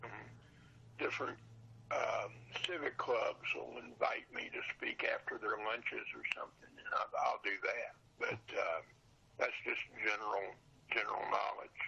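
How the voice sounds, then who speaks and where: An older man with a very raspy, creaky voice, heard over a somewhat dull lo-fi line. His tone is bright and edgy as he reminisces casually as an interview guest. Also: on the low side, fairly even intonation, normal speed. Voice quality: husky, gravelly. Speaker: podcast guest, old radio show collector.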